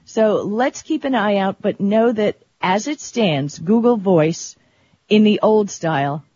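A tech talk show co-host explaining things in a chatty, colloquial, conversational way, with a husky, rough-edged voice. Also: mid-range voice, a little mellow. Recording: dull, lo-fi audio.

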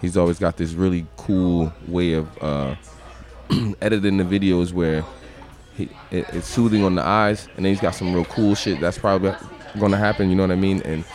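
A man with a deep voice, a guest musician in a podcast interview at a crowded restaurant, talking in laid-back slang and swearing casually. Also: steady tone, normal speed, darkish tone.

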